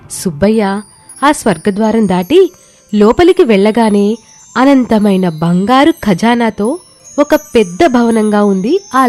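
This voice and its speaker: A woman's voice: mid-range voice, darkish tone. A woman reading a scripted story as a narrator. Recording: music bed behind the voice.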